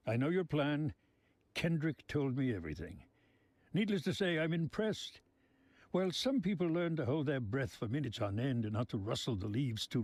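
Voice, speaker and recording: An actor playing a genteel, sly old mob boss in a video game, speaking in florid, deliberate phrases. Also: male voice, mid-range voice, a little mellow, breathy edge, dry recording. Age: older.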